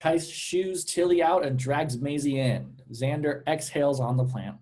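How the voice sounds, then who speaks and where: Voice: medium pitch, a little slow, darkish tone. A narrator reading out stage directions at a script table read.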